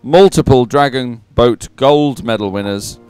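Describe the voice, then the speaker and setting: Guy doing live sports commentary, smooth clear voice, chatty and ad-libbing, bit of music in the back. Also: mid-range voice, unhurried, darkish tone. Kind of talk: live event commentary and results announcing.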